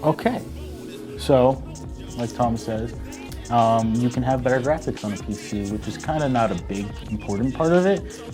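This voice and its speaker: A man taking part in a debate show, making his case in casual, chatty speech. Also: mid-range voice. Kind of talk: casual argumentative chat.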